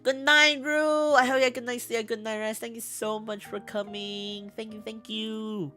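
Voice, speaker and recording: A woman streamer with a mixed English accent chatting casually as she answers viewer questions, giggly and halting, often repeating herself. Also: fairly high pitch, level tone, bright, forceful tone. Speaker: VTuber streamer host. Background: background music.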